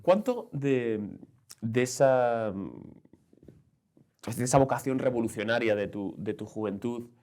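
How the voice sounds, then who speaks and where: A man's voice: mid-range voice, measured pace, pauses often, a little mellow. A man interviewing conversationally, phrasing things tentatively and often ending on a questioning tag. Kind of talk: conversational long-form interview.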